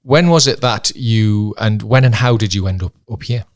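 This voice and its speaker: A man's voice: lowish voice, moderate pace, no room sound, studio quality. A man hosting a podcast, interviewing casually and attentively with short prompting questions. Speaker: podcast host interviewing a guest.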